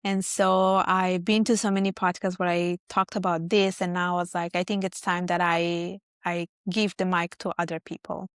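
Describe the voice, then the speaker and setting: Woman, 30s, from Italy, podcast guest, lower register, dry sound, easy chatty delivery. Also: flat delivery, normal speed, hardly any pauses, clear, resonant tone, no room sound. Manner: friendly, chatty, lists things out. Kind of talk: casual interview chat.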